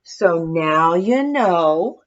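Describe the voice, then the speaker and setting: A gentle, kindly old Father Christmas figure from a storybook, acted out by a woman. The delivery is reassuring and unhurried in manner, and the recording sounds slightly dull. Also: lowish voice, expressive. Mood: warm, cheerful. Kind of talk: children's storybook character voice.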